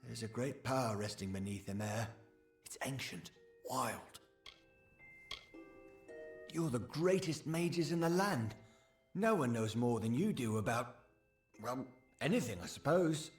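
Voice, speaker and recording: A man's voice: medium pitch, lively intonation, unhurried, long pauses, lightly acted. A man voicing an eager, self-effacing village sagecrafter in a fantasy game, earnest, hesitant and deferential. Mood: eager, humble. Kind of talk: scripted game dialogue. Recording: roomy echo, background music.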